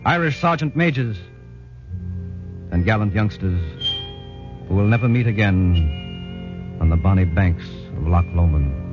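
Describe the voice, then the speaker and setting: A solemn British commando, recounts a daring raid in measured, dramatic tones. It is 1940s radio drama, with a dull, lo-fi sound and music behind the voice. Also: male voice, low voice, lively intonation. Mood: solemn tribute.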